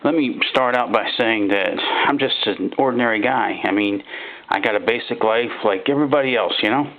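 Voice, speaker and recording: A man narrating a first-person horror story in a folksy, halting, conversational way, like an ordinary guy confiding. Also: fairly low pitch, a little hiss or hum. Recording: thin phone-like band-limited sound.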